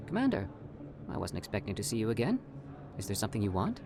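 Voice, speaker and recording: An actor voicing an eager, evasive alien scientist in a video game, with a persuasive, self-justifying manner. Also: male voice, fairly low pitch, huge pitch swings, quick pace, creaky, music in the background, full character voice. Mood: feigned politeness. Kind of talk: video game character acting.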